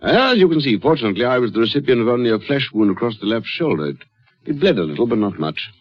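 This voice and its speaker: Husky, insistent police inspector, swooping delivery. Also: male voice, medium pitch, sing-song. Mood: grave, insistent. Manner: theatrical, interrogating, with pointed pauses. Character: shrewd, insistent male police inspector, gravely suspicious. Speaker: actor in a radio drama. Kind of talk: radio mystery drama acting. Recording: dull lo-fi radio drama recording.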